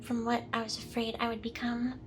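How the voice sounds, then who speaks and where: A woman's voice: on the high side, monotone, brisk, soft background music, natural voice. A woman playing a smug, seductive, possessive demon boss in an ASMR roleplay, with a teasing, theatrical delivery. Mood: intense, vulnerable.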